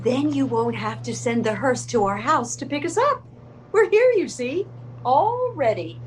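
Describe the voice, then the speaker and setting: A determined, eccentric old lady acted by a woman in a stage comedy. She is formal and matter-of-fact, with a highish voice and lively intonation, over faint background noise. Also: measured pace. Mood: businesslike. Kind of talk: comedic readers theater acting.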